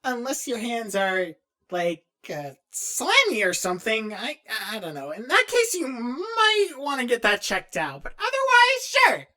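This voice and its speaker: A woman's voice: fairly high pitch, huge pitch swings, unhurried, pushed and bright, heavily acted. A woman voicing a young, awkward, kind-hearted character who answers questions in a casual, hesitant, encouraging and rambling way. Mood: bashful, flustered.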